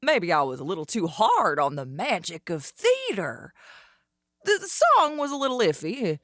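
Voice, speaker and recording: A woman's voice: on the low side, wildly swooping pitch, normal speed, fairly bright tone, dry recording, studio quality. A woman playing a smug, mocking, flamboyant outlaw in a western audio drama. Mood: mocking, amused.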